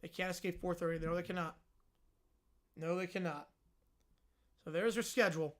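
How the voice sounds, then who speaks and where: A man hosting a live sports reaction stream, chatting casually and answering viewers, his voice hoarse and worn out. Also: sits high, steady tone, normal speed. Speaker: livestream host.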